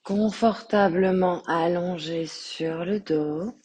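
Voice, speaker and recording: A woman yoga teacher leads a guided meditation in a soft, husky voice. Her tone is mellow and on the low side. She speaks extremely slowly and calmly, leaving long pauses between phrases. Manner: calm, soothing, measured with long pauses. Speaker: yoga teacher guiding relaxation.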